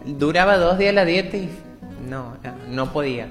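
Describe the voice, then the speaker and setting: A woman's voice: low voice, expressive, moderate pace, long reverb tail, soft background music. A woman in her twenties, a radio interview guest, talking openly and reflectively about her personal story. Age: 20s.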